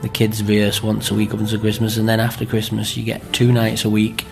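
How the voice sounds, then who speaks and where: A man's voice: on the low side, monotone, brisk, gravelly, faint music behind. A middle-aged man with a Greater Manchester accent, chatting in an interview in a rambling way and often checking with tag questions. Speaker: amateur pantomime performer being interviewed.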